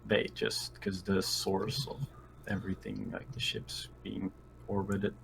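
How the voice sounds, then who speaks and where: A man's voice: sits low, little pitch movement, measured pace, creaky, background noise. A man playing a tabletop roleplaying game, chatting casually in and out of character.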